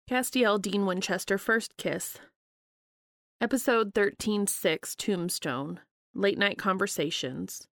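A woman's voice: on the low side, vocal fry, a bit bright, no echo, clean studio sound. A woman podcast host reading fan fiction aloud as scripted narration.

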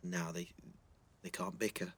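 A man's voice: low voice, level tone, measured pace, a bit bright, slightly rough, full character voice. An actor playing a shy, earnest teenage schoolboy, hesitant and flustered, repeating his words in a naturalistic audio drama. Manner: hesitant, stammering repetitions, flustered.

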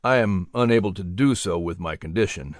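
Narrator as male preacher, lowered voice, kindly, measured, encouraging, storybook mentor. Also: no echo, clean studio sound, close to an everyday voice. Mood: kindly, reassuring.

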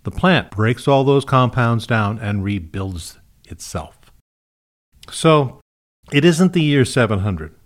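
A man's voice: mid-range voice, lively intonation, slow. A man narrating a scripted science podcast as its host. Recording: music behind the voice.

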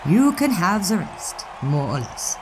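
A woman's voice: on the low side, sing-song, unhurried, a little mellow. An actress playing an opinionated young woman of the 1920s, arch, refined and playfully scolding in an audio drama. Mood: playful, affectionate. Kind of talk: period audio drama acting. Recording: clearly noisy outdoor ambience behind.

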